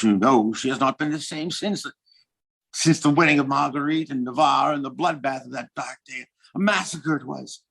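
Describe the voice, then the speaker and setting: A man's voice: a little high, vocal fry, fairly bright tone, breathy edge, full character voice. A man playing a doting, self-important king in a period audio drama, speaking in flowery, courtly phrases. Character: doting, self-important French king, adult, mother-obedient.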